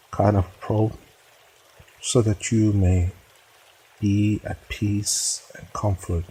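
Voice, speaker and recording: A man with a deep, husky voice guides a meditation. His delivery is very slow and solemn, broken into short phrases with pauses, as he gives measured instruction. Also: dark tone, low-quality recording.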